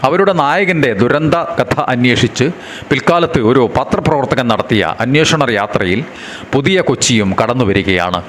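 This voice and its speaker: A man reading a book review aloud in a measured, formal way, with faint background noise and the odd passing vehicle. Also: mid-range voice, lively intonation, a bit bright.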